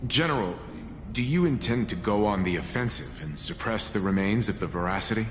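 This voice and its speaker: A man's voice: on the low side, lively intonation, a little fast, lightly acted. An actor playing a dutiful male military subordinate in a video game, formal and respectful in delivery. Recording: echoey hall reverb with game music.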